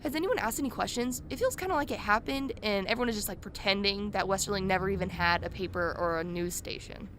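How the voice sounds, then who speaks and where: A woman acting as a curious true-crime podcaster who chats informally to her listeners. Her pitch sits in an ordinary female range, with faint noise behind her. It has the feel of a radio drama told as a podcast. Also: fast talker, few pauses, fairly bright tone, natural voice. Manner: informal, chatty storytelling. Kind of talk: conversational podcast narration in a radio play.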